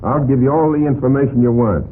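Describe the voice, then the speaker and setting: A man's voice: mid-range voice, fast talker, close to an everyday voice. Actor as an earnest fireman on vintage radio. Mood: defensive. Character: earnest male city fireman under police questioning. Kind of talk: old-time radio crime drama acting. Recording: tinny, noisy vintage radio recording.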